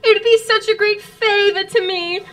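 A woman's voice: very high voice, steady tone, normal speed, fairly bright tone. A woman playing an anxious, flustered society lady in a radio drama, pleading in an imploring, breathless, theatrical manner. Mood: tearful pleading.

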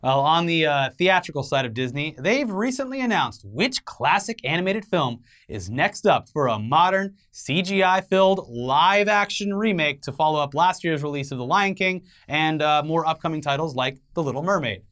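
A man's voice: a little high, lively intonation, moderate pace, hardly any pauses, a bit bright. A man co-hosting an entertainment news show with casual, sarcastic, irreverent commentary and some swearing.

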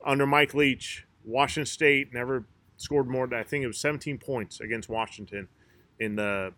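A man's voice: mid-range voice, steady tone, normal speed, sharp tone, dry recording. A man in his forties talking sports casually with friends, joking and swearing often. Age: 40s. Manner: profane, joking, rambling.

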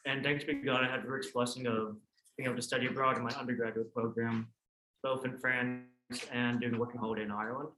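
A man's voice: mid-range voice, flat delivery, fast. Young American man in an echoey room. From Oklahoma, United States. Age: 20s. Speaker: guest, theology student. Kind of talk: personal testimony, reflective storytelling. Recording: roomy echo, audible background noise.

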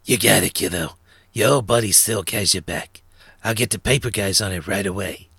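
A man's voice: medium pitch, sing-song, moderate pace, creaky, pushed and bright, breathy edge, dry recording, exaggerated voice. A man voicing a short, thin, sly underworld fence and information broker in a narrated story, with chummy, streetwise slang. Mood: reassuring. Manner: streetwise gangster slang, chummy and self-assured. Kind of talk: acted character dialogue in a narrated story.

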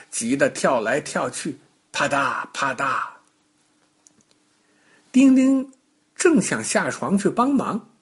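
A man telling a bedtime story to small children in an expressive, unhurried manner with gentle interjections. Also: medium pitch, husky.

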